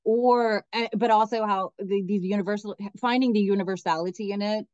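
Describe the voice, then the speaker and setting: A woman's voice: mid-range voice, steady tone, a little fast, darkish tone, no room sound, highs cut off. A middle-aged woman from Miami, a theater director speaking enthusiastically as a podcast guest, often restarting her sentences. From Miami, United States. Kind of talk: podcast interview.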